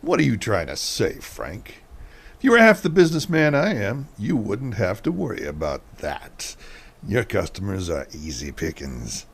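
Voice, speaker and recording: A man's voice: fairly low pitch, sing-song, normal speed, slightly rough, full character voice. A man playing a boastful, blustering small-town businessman in an amateur radio mystery. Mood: cocky.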